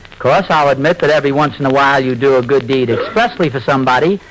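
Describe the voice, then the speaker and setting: An actor playing an earnest, mild-mannered, slightly folksy husband in a 1940s radio sitcom. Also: male voice, mid-range voice, fast talker, a bit bright, lightly acted. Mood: earnest, amiable. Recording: noisy vintage radio recording.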